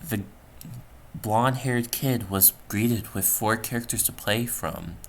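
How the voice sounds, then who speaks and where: Man storyteller, low pitch, slow halting reading, scripted horror narration, slightly noisy home recording. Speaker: storyteller, channel creator.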